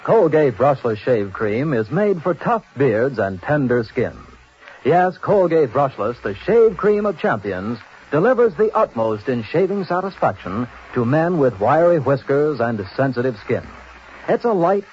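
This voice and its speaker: Lively American 1940s radio announcer, male. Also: medium pitch. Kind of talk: 1940s radio ad copy reading. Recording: dull, noisy 1940s radio broadcast.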